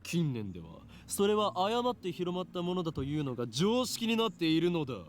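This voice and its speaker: A man's voice: high voice, sing-song, racing. A man voicing a pompous, grandiose young mad scientist in a Japanese visual novel, with theatrical declaiming. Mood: smug, declaiming.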